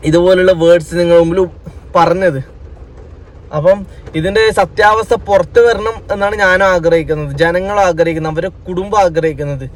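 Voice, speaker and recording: A man's voice: high-pitched, a little hiss or hum. A man with a Kerala Malayalam accent giving unscripted news commentary, addressing people directly and admonishingly with repeated points. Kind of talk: unscripted opinion commentary to camera.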